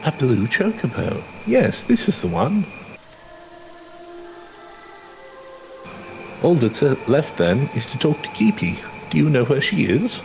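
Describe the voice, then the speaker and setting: A man's voice: mid-range voice, big pitch swings, moderate pace, vocal fry, over music, voice pushed up, rough recording. A man voicing a polite, earnest young male adventurer in a fantasy game, with formal, sincere and careful phrasing. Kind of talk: fantasy game character read-aloud.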